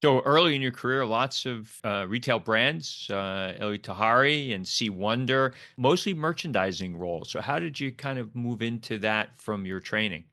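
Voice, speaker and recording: A man's voice: medium pitch, lively intonation, normal speed, hardly any pauses, pushed and bright, no echo, studio quality. A man hosting a podcast interview, asking questions in an easygoing way with many fillers. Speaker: podcast host.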